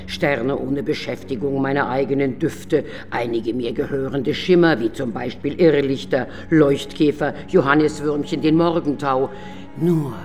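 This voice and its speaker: A female night spirit, motherly and secretive, in a German radio drama. She speaks very slowly and solemnly, admonishing and pleading like a guardian. Clear music sits behind her voice. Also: extremely low pitch, a little mellow. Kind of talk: German radio play acting.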